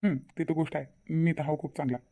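A man's voice: fairly high pitch, level tone, fast talker, warm tone, natural voice. A man with a Varhadi Marathi accent voicing a devoted, insistent young fiance in a cartoon family drama. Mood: reassuring, pleased. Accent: Varhadi Marathi from Vidarbha. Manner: colloquial, emphatic repetition.

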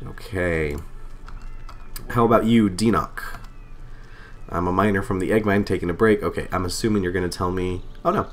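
A man with a slightly lowered voice, still getting over a cold, talks through his game into a desk mic. He rambles casually, thinking aloud and poking fun at himself. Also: normal speed, pauses often, a little hiss or hum. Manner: chatty, self-deprecating, thinks aloud with fillers. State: recovering from a cold. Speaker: let's play host. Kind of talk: casual gameplay commentary. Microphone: desk microphone.

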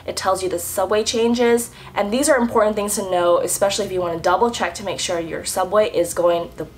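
A young woman in her twenties talks straight to the camera in a chatty, upbeat explainer vlog. Her voice sounds a little rough and off from a recent flu. She is recorded indoors on a camera at chest height. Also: medium pitch. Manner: chatty, upbeat, lively hand gestures. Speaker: vlogger. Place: indoor room with french doors.

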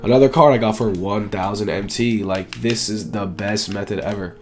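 A man in his twenties with a raspy, dry, flu-sick voice, giving casual, slangy gaming video commentary as a YouTube host. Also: lowish voice, normal speed, a little mellow, soft background music. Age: 20s. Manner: slangy, informal, repetitive emphasis. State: sick with flu, sore throat, coughing.

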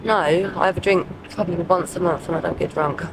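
South London woman over clear street noise. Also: fairly low pitch, steady tone, brisk. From Croydon, South London, England. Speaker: homeless interviewee. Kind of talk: subdued street interview. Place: outdoors in a city doorway at night. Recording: noisy street with traffic ambience.

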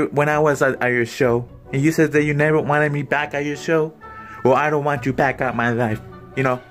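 A man's voice: fairly high pitch, natural voice. A man in a small bedroom, filmed on a phone at arm's length, playing a bossy, cursing older grandmother who trash-talks her rival. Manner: brash, insulting, trash-talking. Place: small cluttered bedroom, indoors. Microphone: phone camera at arm's length.

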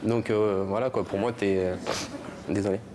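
Halting young man with a cold. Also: on the low side, fairly even intonation. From Normandy. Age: young adult. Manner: hesitant, halting, many restarts and fillers. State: has a cold. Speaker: guest declaring his love. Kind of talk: emotional on-stage confession. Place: large TV studio with audience. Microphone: lapel mic. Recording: studio with clear background noise.

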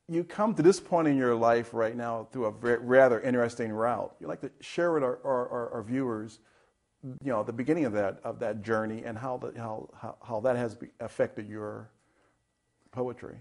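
A middle-aged man hosting a studio interview in a voice of ordinary pitch. He often restarts and repeats words while he frames a question. It sounds like a lapel mic in a television studio. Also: normal speed. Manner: stumbling, repeating words when asking questions. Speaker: TV host.

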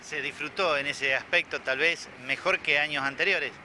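Reporter guy, sharp bright voice, slow careful questions, outdoors. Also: a little high, fairly even intonation, slight background noise. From Argentina. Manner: measured questions with hesitations. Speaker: reporter, interviewer. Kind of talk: local TV interview questions.

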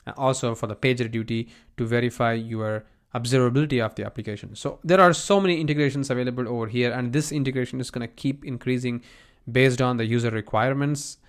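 Man narrating an informal software tutorial. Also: medium pitch, fast talker. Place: voice-over on a screen recording.

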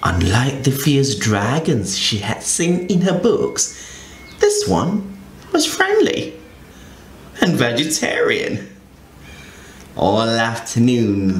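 Man storyteller for kids, very animated read-aloud, unhurried delivery, echoey room with faint hiss. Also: mid-range voice. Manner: expressive, very animated storybook reading. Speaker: children's storyteller. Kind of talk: reading a picture book aloud to children.

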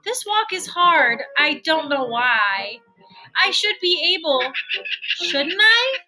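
A woman's voice: very high voice, sing-song, takes it slowly, pushed and bright, faint music behind, full character voice, rough recording. A woman voicing a timid, eager-to-please young hippo in a storybook, with a hesitant, exclaiming delivery. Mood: unsure, compliant.

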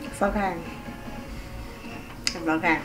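A woman's voice: fairly low pitch, fairly even intonation, takes it slowly, loud music behind the voice, rough recording. A woman in her thirties chatting casually and reassuringly beside a vlogger on a cruise. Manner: reassuring, easygoing. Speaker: travel companion. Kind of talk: casual chat. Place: cruise ship dining room. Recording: busy crowd noise behind.

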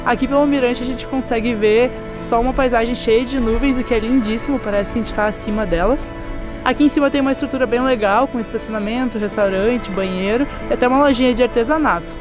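A young woman from Brazil narrating a travel vlog in a calm, descriptive way, speaking outdoors at scenic spots. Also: on the high side, fast. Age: young adult. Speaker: travel vlogger. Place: outdoors on a windy overlook and city streets. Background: background music.

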